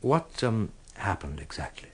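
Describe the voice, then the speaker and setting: An actor in a radio drama playing a mild, concerned gentleman, polite, tentative and diplomatic. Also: fairly low pitch, sing-song, unhurried, slightly rough, full character voice.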